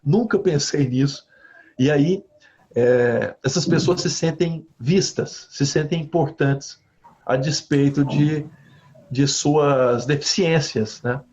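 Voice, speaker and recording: A man from Brazil, a university professor, answering interview questions with animated stories, often ending phrases with a questioning tag. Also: a little high, slow pace. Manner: animated storytelling with frequent "né?" tags. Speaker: guest professor. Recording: slightly dull lo-fi audio.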